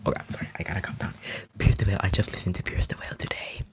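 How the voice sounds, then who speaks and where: A man from Finland, a native Finnish speaker, rambling eagerly in a soft whisper as an ASMR live streamer. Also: low-pitched, fairly even intonation, rapid-fire, husky.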